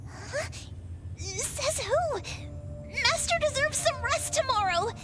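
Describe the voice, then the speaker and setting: A woman voicing a small, excitable, childlike girl sidekick in an anime-style video game, devoted to her master. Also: slow pace, heavily acted. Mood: indignant. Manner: squeaky, childlike. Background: loud game music and crashing effects.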